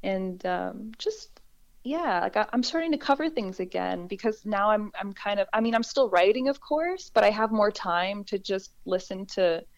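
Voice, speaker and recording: A woman singer-songwriter with a low voice, talking through how she wrote a song in a relaxed, reflective way with frequent restarts. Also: moderate pace, muffled recording. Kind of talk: conversational interview.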